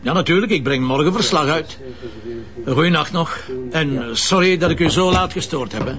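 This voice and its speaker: Man playing a curt Flemish police commissioner, higher voice. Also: moderate pace, crowd noise, lightly acted. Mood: dry, grumbling. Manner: curt, dry. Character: gruff, sceptical male police commissioner. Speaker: actor in a crime drama. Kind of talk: naturalistic Flemish crime drama dialogue. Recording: dull lo-fi audio, faint noise.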